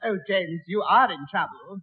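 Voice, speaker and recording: A man's voice: high-pitched, normal speed, natural voice. A man playing a prim, fussy and proper friend of a schoolboy in a 1960s radio comedy, with prissy, formal diction. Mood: doubtful. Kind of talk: 1960s radio sitcom acting. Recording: tinny, narrow old radio recording.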